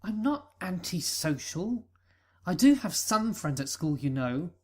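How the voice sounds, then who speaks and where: A woman's voice: fairly low pitch, very animated, measured pace, darkish tone, husky, full character voice. A woman voicing a shy, bookish teenage colt who stammers when flustered, in a fan audio reading.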